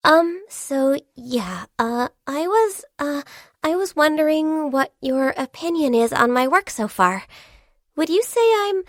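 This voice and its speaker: An actress playing a gentle, diligent, shy and youthful half-beast secretary in a video game, speaking politely and earnestly. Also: female voice, sits high, dry recording, clean studio sound. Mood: flustered, shy. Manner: polite, earnest, hesitant when flustered. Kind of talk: video game character voice lines.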